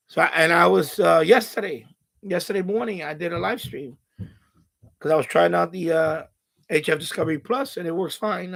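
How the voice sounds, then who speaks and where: Man, high-pitched voice, gravelly creak, casual live stream chat, halting and rambling. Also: a bit bright. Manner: rambling, halting, thinking aloud.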